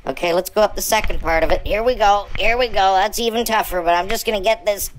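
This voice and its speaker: A woman's voice: medium pitch, quick pace, lightly acted, rough recording. A woman voicing a cheeky, eager pet pug who rambles as it gives a tour to its owners, in an improvised pet voice-over. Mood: chatty. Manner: rambling, eager, addresses owners as Mom and Dad.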